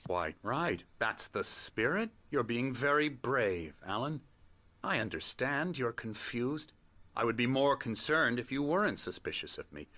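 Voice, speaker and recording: An actor playing a smooth, condescending psychiatrist in a video game, speaking in a measured, formally polite, controlling way. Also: male voice, mid-range voice, sing-song, pushed and bright. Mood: condescending, soothing. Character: smooth condescending male psychiatrist running a clinic, quietly controlling. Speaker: doctor. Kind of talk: video game dramatic acting.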